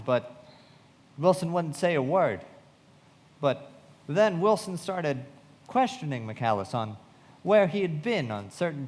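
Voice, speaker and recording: A man playing a sombre narrator recounting a tragedy in a radio play, with measured, solemn delivery. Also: a little high, natural voice. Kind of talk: dramatic scripted narration. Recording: reverberant hall.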